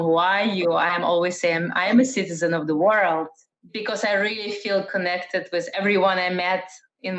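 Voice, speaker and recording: A middle-aged woman from Russia, raised in the Netherlands, answering interview questions thoughtfully with many fillers and restarts. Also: lowish voice. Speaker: interview guest, entrepreneur. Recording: dull lo-fi sound.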